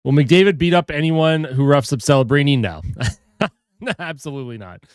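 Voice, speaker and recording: A man's voice: fairly high pitch, expressive, normal speed, darkish tone, clean tone, dry recording, clean studio sound. A young man with a head cold and a stuffed-up voice, chatting casually on a hockey live stream, greeting viewers and reading their comments. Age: young adult. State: a little tired.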